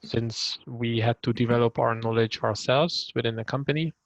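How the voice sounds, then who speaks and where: Gravelly-voiced man from Hungary, hesitant. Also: mid-range voice, fairly even intonation, dry recording, muffled recording. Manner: hesitant, many ums and pauses. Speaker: trainee introducing himself. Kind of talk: casual self-introduction on a video call.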